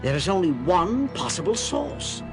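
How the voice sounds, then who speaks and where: A serious, alert moonbase crewman in a 1970s audio drama. His fairly high voice moves very widely in pitch, over clear music. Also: big pitch swings, measured pace. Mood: grave, tense. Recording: music under the voice.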